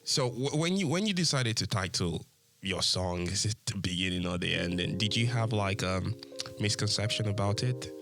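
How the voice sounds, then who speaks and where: A man's voice: lowish voice, expressive, normal speed, fairly bright tone, faint music behind. A male radio host doing a casual, playful studio interview, teasing his guest in a chatty way.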